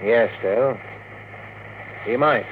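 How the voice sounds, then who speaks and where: Measured male attorney, acted, candid, thin radio-drama sound with faint noise. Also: medium pitch, narrow, tinny audio, lightly acted. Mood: grave. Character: measured, candid lawyer advising his client. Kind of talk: classic radio drama acting.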